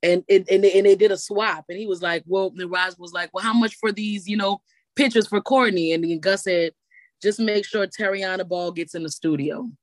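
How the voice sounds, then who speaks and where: A woman's voice: mid-range voice, steady tone, moderate pace, dry recording. A woman, a singer and poet answering interview questions in a loose, rambling way, often restarting her words. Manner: rambling, repeats words when starting.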